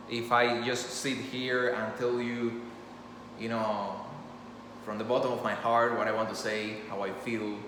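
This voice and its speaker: A man whose first language is Spanish talks earnestly and without a script into his phone. His voice sits in a middling register and rings around an echoey room with a long tail. A steady background hum sits clearly under his words. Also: mid-range voice. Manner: rambling, earnest, many restarts. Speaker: storyteller channel host. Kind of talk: impromptu talk to camera.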